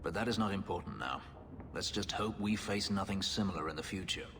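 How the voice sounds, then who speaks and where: A man's voice: low voice, brisk, background noise. A man playing a proud, brooding warrior companion in a video game, grave and formal in speech. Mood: grave, contrite. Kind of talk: video game cutscene acting. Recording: long reverb, music behind.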